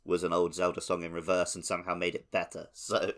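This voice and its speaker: A man's voice: sits low, monotone, fast, a bit bright. A man co-hosting a podcast, talking casually about video games. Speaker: podcast co-host.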